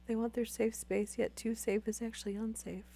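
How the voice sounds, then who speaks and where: A woman's voice: on the high side, fairly even intonation, normal speed, vocal fry, mellow, slight background noise. A young woman chatting casually with live viewers while losing her voice to a sore throat, rambling with many fillers. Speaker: streamer.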